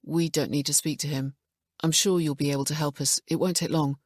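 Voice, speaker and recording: A woman voicing a calm, sensitive female detective who speaks gently and patiently. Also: low voice, fairly quick, no echo, clean studio sound. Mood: gentle.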